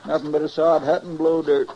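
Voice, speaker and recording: An actor playing a fretful, loyal frontier sidekick with a rural American drawl and a naive, chatty manner, in an old-time radio western. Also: male voice, fairly high pitch, flat delivery, moderate pace, dark tone, highs cut off, close to an everyday voice. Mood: doubtful, curious. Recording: noisy vintage radio recording.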